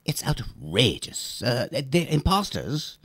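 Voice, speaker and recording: A man's voice: medium pitch, very animated, takes it slowly, creaky, fairly bright tone, slightly rough, heavily acted. A man playing a sarcastic, exasperated male Easter Bunny in an improvised comedy interview. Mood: grumbling.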